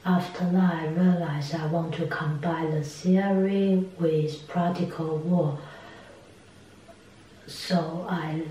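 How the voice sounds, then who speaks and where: A woman with a Taiwanese accent gives interview answers in simple, halting phrases. She speaks slowly in a slightly low register. The room is strongly reverberant. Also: dark tone. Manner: halting, simple phrasing in non-native English. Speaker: interviewee, ceramic artist. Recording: echoey room, distant mic, faint noise.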